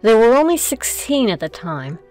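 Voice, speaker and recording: A woman's voice: a little high, very animated, moderate pace, a little mellow, soft background music. A woman narrating a scripted audio drama as its storyteller.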